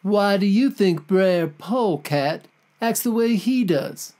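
Mellow, slow-paced woman presenter. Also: mid-range voice, animated. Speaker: discussion question presenter. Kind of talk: scripted educational reading.

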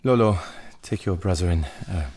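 A man's voice: sits low, moderate pace, a little mellow, slight background noise. An actor playing a secretive, troubled French father with a hesitant manner in a radio drama. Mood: guarded.

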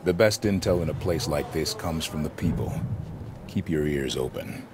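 An actor playing a calm male soldier leading a fire team, clipped and polite. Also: very deep voice, normal speed, background noise. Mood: steady. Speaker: squad leader.

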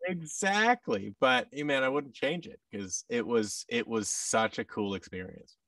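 A man in his thirties with an American accent, chatting casually as an interview guest and telling anecdotes in an expressive way. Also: medium pitch, measured pace, dry recording, distant mic. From Seattle, United States. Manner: animated, anecdotal, acts out quoted thoughts. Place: large furnished indoor room, video call. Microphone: boom mic and headset, slightly off-mic.

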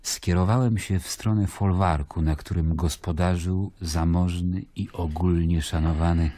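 Deep, measured Roman governor narrating. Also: male voice, steady tone, mellow, no echo. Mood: weary, ironic. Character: Pontius Pilate, weary Roman governor of Judea narrating a report. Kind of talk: radio drama narration.

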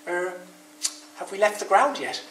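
A man's voice: high voice, a little slow, echoey room, far from the mic, a little hiss or hum, pitched above the performer's own voice. A man voicing a grumpy, stubborn young male rabbit with an honest streak in a children's story. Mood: reluctant, sheepish. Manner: blustering when cross, hushed when awed.

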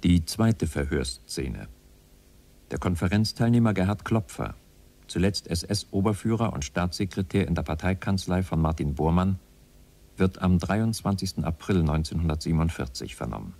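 A man's voice: low-pitched, lively intonation, moderate pace, vocal fry, darkish tone. A man delivering scripted documentary narration as a narrator.

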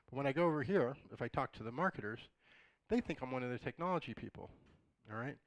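A middle-aged man talks through a conference lecture in a relaxed way, dropping joking asides to the audience. His voice is slightly raspy and sits at an ordinary pitch. The sound is dry and close. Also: quick pace, no room sound, poor audio. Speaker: conference speaker. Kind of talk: conversational lecture. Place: dark auditorium, in front of a projected slide.

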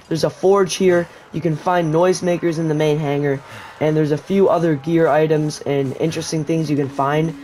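A man giving a casual, rambling voice-over tutorial for a survival video game, explaining as he plays. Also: on the high side. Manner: relaxed, rambling explanation with restarts. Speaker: gaming YouTuber.

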